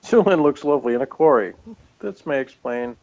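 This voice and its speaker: A man's voice: mid-range voice, expressive, fairly quick, darkish tone, highs cut off. A man co-hosting a casual review chat, reading jokey notes aloud in a dry, wry way.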